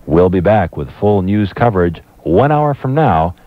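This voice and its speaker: Low-voiced male radio station announcer. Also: big pitch swings, moderate pace, dry recording. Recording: phone-like boombox tape of radio.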